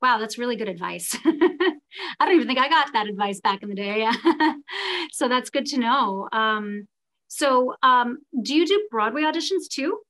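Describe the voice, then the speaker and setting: A woman's voice: fairly high pitch, very animated, unhurried, a bit bright. A woman interviewing a guest in a casual, chatty style. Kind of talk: casual chat.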